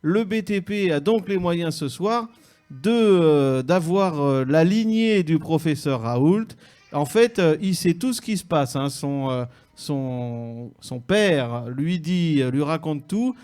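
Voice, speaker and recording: Pompous male host, high voice, hesitant, faint music behind. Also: animated, takes it slowly, a little mellow, clean tone. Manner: pompous announcer tone, many hesitations. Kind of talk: mock radio show hosting at holiday event. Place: holiday village hall with audience.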